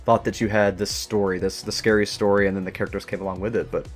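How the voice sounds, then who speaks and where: A man's voice: lowish voice, level tone, quick pace. A man hosting a casual interview, musing aloud and feeling his way tentatively through his questions. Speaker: interview show host. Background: music.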